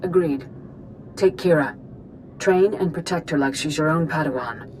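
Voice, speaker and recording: An actress playing a wise, stern mystic knight master in a video game, measured and authoritative. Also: female voice, deep voice, darkish tone, a little hiss or hum, lightly acted, poor audio. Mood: solemn, authoritative. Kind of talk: video game character acting. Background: music.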